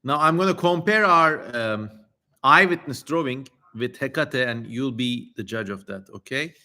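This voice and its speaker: A man's voice: medium pitch, unhurried, bright, forceful tone. A man hosting a casual livestream, reading aloud and commenting in a wry, playfully theatrical way. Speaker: livestream host.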